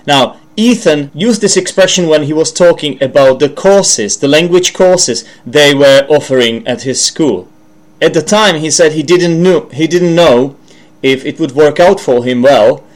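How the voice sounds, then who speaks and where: A man's voice: fairly high pitch, unhurried. A Czech man with a Czech accent gives an informal English lesson as a podcast host, rambling, correcting himself and checking in with the listener. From Czech Republic. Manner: rambling, self-correcting, with frequent asides and check-in questions. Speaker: language podcast host and teacher.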